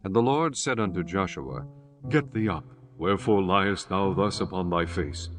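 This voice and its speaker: A man reading scripture as the narrator of a dramatized audiobook, in a solemn, measured manner. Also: low voice, lively intonation. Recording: music behind the voice.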